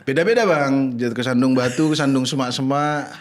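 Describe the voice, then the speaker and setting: A man with a Madurese-tinged Indonesian accent talks casually as a guest. He explains things eagerly and laughs easily, at a middling pitch for a man. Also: fairly even intonation, fairly quick. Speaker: guest comedian. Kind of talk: casual chat.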